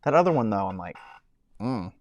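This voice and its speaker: A man's voice: big pitch swings, fairly quick, no echo, lo-fi sound. Rambling man chatting on a live stream. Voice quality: says he has a high-pitched voice. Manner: rambling, many fillers and restarts. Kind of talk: casual live-stream chat.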